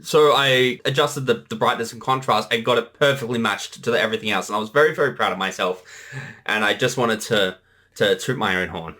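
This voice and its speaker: A man's voice: medium pitch, fairly quick, sharp tone. A man giving casual creator commentary on his own series.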